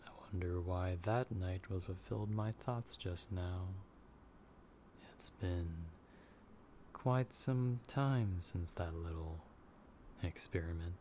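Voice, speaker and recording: A man playing an ancient, coldly curious vampire in an audio roleplay, musing with soft menace and amusement. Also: low-pitched, pitched below the performer's own voice. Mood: amused, musing. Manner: slow, musing, softly menacing. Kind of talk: dramatic audio roleplay monologue. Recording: noisy track with storm ambience.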